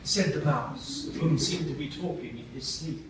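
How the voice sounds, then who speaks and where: Male narrator, measured storytelling; distant mic, echoey with audible hiss. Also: on the high side. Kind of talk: live radio play narration. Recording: echoey stage from far off, noisy.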